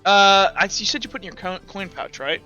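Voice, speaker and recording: A man's voice: high-pitched, a little fast, pushed and bright, dull audio, faint music behind. A man narrating casually as game master of a tabletop game, in a rambling, conversational way. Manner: rambling, leans on "like" and "kinda".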